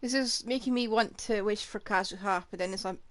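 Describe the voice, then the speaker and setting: A woman's voice: mid-range voice, a little fast. A woman streaming a game with casual, playful live commentary, repeating words for emphasis.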